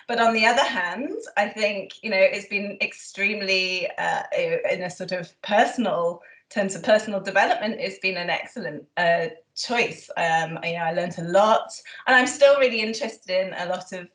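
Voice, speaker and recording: Lady historian, lots of ums and uhs, thinks out loud, roomy echo. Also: medium pitch, moderate pace. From Yorkshire, England. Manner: thoughtful, hesitant with many ums. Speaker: guest historian. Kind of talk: relaxed interview answers. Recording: echoey room.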